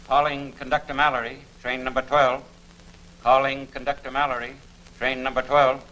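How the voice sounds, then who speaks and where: An actor playing an earnest, excitable middle-aged railroad executive in a 1940s film serial, with formal, declamatory speech. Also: male voice, medium pitch, moderate pace, natural voice. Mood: proud, businesslike. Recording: slightly noisy old film soundtrack.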